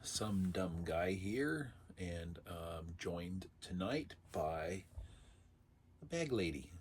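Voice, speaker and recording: A man hosting a casual unboxing video, sounding raspy and congested because he is under the weather, and chatting in a rambling way with dry asides. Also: deep voice, lively intonation, drawn-out words. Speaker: vlog host.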